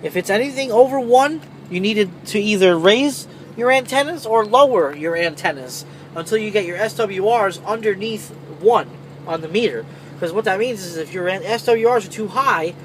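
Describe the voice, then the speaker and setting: A man's voice: high voice, animated, fairly quick, a little hiss or hum, rough recording. A man giving an informal, conversational hands-on tutorial, talking directly to viewers like a trucker hosting his own video. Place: inside a semi truck cab.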